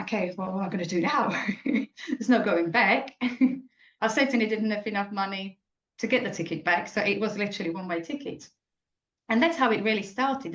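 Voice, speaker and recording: Reflective woman from Slovakia, echoey. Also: mid-range voice. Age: 40s. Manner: reflective, halting, frequent self-corrections. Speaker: guest. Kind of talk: personal story in an interview. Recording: echoey muffled room.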